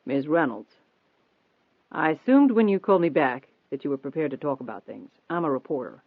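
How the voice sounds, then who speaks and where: Guy doing a confident nosy reporter man, pressing hard, audiobook, higher-ish voice, sounds like a phone line. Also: a little fast, breathy edge, dry recording. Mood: firm. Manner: insistent, steady, probing. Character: pushy, persistent male newspaper reporter, coaxing and confident. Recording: thin phone-like band-limited audio.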